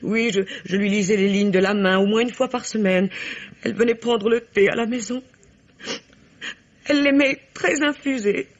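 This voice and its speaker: A woman's voice: on the high side, normal speed, natural voice. A woman playing an outraged, theatrical, talkative American wife in a vintage French radio play, gushing and indignant. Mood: confiding, dramatic. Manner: indignant, melodramatic, gushing, interrupting. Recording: dull vintage radio recording.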